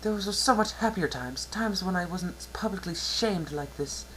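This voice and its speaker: Storybook pony princess, male reader: plaintive younger sister, pitched high, wailing with wounded indignation. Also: animated, normal speed, fairly bright tone, slight background noise, poor audio. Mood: upset, indignant.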